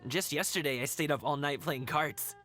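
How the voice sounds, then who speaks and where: A man's voice: on the high side, moderate pace, pushed and bright, soft background music. An actor playing a friendly, confident young male guard in a video game, upbeat and eager to reassure. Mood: easygoing. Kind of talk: English video game voice acting.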